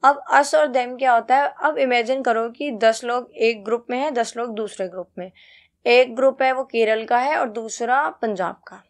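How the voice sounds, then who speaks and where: A woman tutor with an Indian accent and a fairly high voice walks students through a lesson. She reads out key points, then explains them in a conversational way, checking in often and posing rhetorical questions. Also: normal speed. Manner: mixes Hindi and English. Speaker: teacher, exam-prep tutor.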